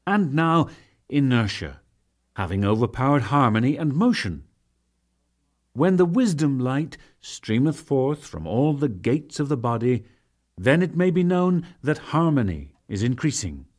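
A man narrating scripture as a dramatic audiobook reading, solemn and measured, with formal phrasing and deliberate pauses. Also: mid-range voice, very animated, a little mellow.

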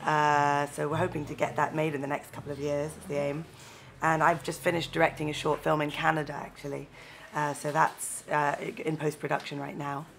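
Low, gravelly-voiced Londoner. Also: female voice, flat delivery, fairly quick, a bit bright, a little hiss or hum. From London, England. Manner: thoughtful, earnest, with small restarts. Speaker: film director and actor, interview guest. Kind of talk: casual interview answers. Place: seated indoors in a room with a leather sofa. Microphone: lapel mic.

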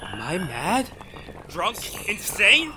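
Male actor as skeptical scientist, high pitch, slow, melodramatic, excitable, retro radio play. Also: background noise, exaggerated voice. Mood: agitated wonder. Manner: melodramatic, halting, emphatic. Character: excitable, skeptical man of science, increasingly astounded. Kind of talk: retro radio drama acting.

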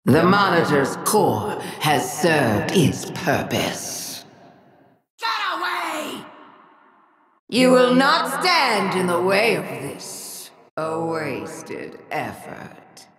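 An actress voicing an imperious, vengeful, ancient alien villain in a video game, speaking with grandiose, contemptuous theatrical menace. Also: female voice, lowish voice, lively intonation, drawn-out words, crowd noise, heavily acted. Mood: cold contempt, then furious, commanding. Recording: echoing game voice processing.

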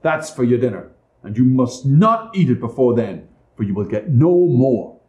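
A man's voice: medium pitch, very animated, normal speed, a little mellow, echoey room, highs cut off, natural voice. A man voicing a harsh, scheming stepmother in a storybook reading, scolding and commanding.

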